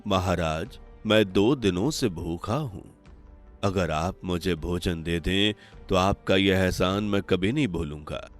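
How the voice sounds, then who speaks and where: Man acting solemn, low-voiced old holy man. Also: measured pace, fairly bright tone, soft background music. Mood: humble, weary. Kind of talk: animated moral story voice acting.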